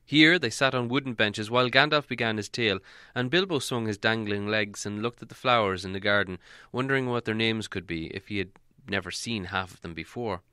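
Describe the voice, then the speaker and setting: A man's voice: fairly low pitch, a little fast, pushed and bright, clear, resonant tone, no echo, studio quality. A man reading a storybook aloud as a narrator, in scripted audiobook style.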